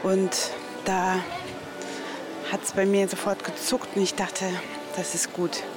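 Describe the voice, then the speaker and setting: A woman's voice: medium pitch, little pitch movement, slow pace. A woman working as a reporter, narrating a documentary and talking with people she meets. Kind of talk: documentary narration and street conversation. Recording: loud music behind the voice. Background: prominent music.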